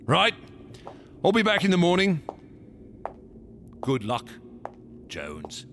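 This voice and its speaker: An actor in a radio drama playing a paranoid, menacing wax sculptor, with a gravelly voice and a sneering, theatrical, taunting delivery. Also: male voice, high voice, wildly swooping pitch, slow pace, a bit bright, slight background noise, heavily acted. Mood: sly.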